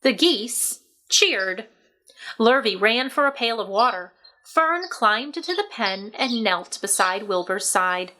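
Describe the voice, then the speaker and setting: A woman narrating a children's book aloud in an expressive, scripted storybook style. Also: on the high side, slow pace.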